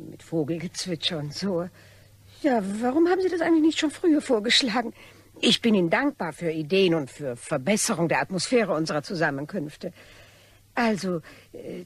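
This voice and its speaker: An actress playing a calculating, mockingly courteous blackmailer in a German radio crime drama, with silky politeness and veiled threats. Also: female voice, lowish voice, lightly acted. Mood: pleasantly businesslike.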